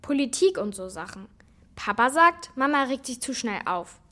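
High-voiced, frank young girl. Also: very animated, normal speed, bright, forceful tone. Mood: curious, frank. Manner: blunt, matter-of-fact, confident. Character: frank, know-it-all young girl, loyal best friend. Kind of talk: children's radio play dialogue.

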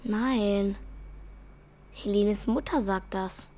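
A young schoolgirl in a radio play. She is earnest and questioning, a little hesitant, at a medium pitch. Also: extremely slow, dark tone.

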